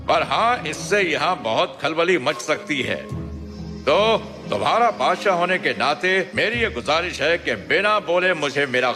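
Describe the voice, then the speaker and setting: A pompous, domineering cartoon lion voiced by a man who acts like king of the jungle. His voice sits a little high and orders everyone about. Long reverb surrounds it, with clear music behind. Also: natural voice. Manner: pompous, commanding. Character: boastful tyrant male lion, self-declared jungle king. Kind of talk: animated children's fable acting.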